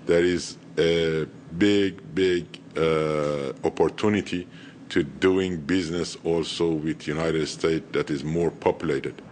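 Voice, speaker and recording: A man with a very deep voice speaks haltingly in an interview, repeating words as he searches for them. Faint background noise is present. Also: darkish tone. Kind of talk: interview talk.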